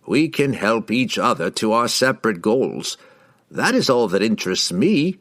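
A man voicing a raspy, smug and ambitious wizard in a storybook, with a grandiose, courtly and drawn-out delivery. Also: fairly low pitch, very animated, a bit bright, dry recording, studio quality, heavily acted. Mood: amused, confident.

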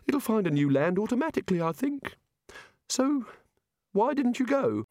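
A man voicing an anxious, absent-minded male god who talks in a high, puzzled, fussy way. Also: big pitch swings, normal speed, darkish tone, no echo, clean studio sound. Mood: fretful.

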